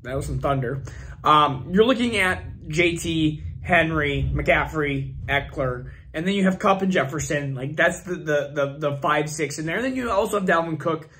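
A young man with a hoarse, fading voice hosts his channel, rambling and thinking aloud with many fillers as he talks through his picks. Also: fairly high pitch, lively intonation, moderate pace, pushed and bright, a little hiss or hum. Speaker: fantasy football channel host. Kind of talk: casual screen-share commentary.

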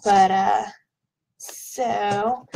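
Slightly hoarse woman chatting at a webcam. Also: mid-range voice, steady tone, extremely slow, background noise. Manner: chatty, rambling, with frequent ums. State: hoarse from illness. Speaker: product vlogger. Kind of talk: casual product unboxing chat. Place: small home office, indoors. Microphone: webcam at desk.

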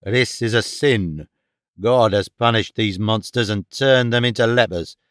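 A man voicing a gruff, domineering, bigoted father in an audiobook, curt and commanding. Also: fairly low pitch, measured pace, fairly bright tone, dry recording, clean studio sound. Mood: angry, scornful. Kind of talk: audiobook character dialogue.

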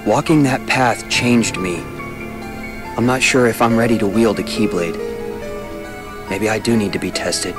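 A man's voice: on the low side, a little slow, pauses often, music in the background, lightly acted. An actor playing a brooding, self-doubting teenage boy warrior in a video game, subdued and earnest. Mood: pensive, doubtful. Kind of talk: video game cutscene acting.

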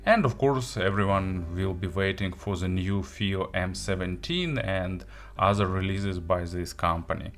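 A man who is a non-native English speaker, giving an unscripted product review in a halting, hesitant way with frequent pauses. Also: sits low, fairly bright tone, soft background music. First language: not English. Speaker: audio gear reviewer.

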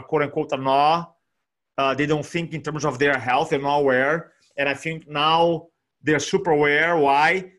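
A man in his forties with a Brazilian Portuguese accent, speaking on a video call in an energetic, animated way and repeating phrases for emphasis. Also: fairly high pitch. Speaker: guest speaker. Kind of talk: energetic motivational talk. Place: small room at home. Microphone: desk microphone on video call.

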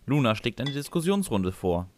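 A man's voice: medium pitch, sing-song, normal speed, a little mellow, a little hiss or hum. A man reading scripted first-person comic narration for a fan fiction audio story.

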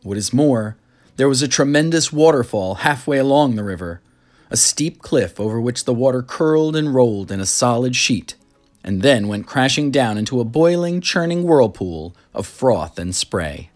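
An expressive narrator reads a children's story aloud. The delivery is dramatic, with lively swings in pitch, and music plays underneath. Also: moderate pace.

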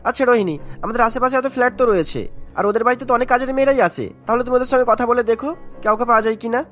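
An actor playing a practical husband who rushes to get ready for the office. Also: medium pitch, fairly bright tone, soft background music, natural voice. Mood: reasoning. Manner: rapid, hurried.

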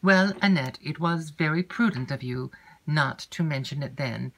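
A grave young lady, composed and restrained, as a woman reading the story plays her. She speaks in a low, even register with careful, measured phrasing. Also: low-quality recording. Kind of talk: audiobook character reading.

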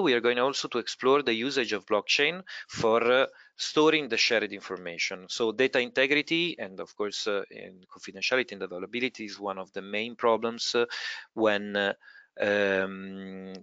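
A low-pitched man gives a webinar presentation on a research project. His delivery is halting, with words repeated as he feels his way along. The audio is dull and close, with very little room sound. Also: pushed and bright, clear, resonant tone, dry recording. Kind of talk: webinar presentation over slides.

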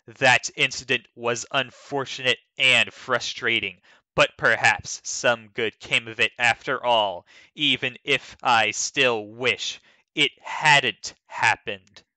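A man giving a dramatic, deliberate reading of a written comment in a theatrical manner. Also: mid-range voice, steady tone, bright, forceful tone, no echo. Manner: theatrical, deliberate, measured delivery. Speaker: dramatic reader of an online comment.

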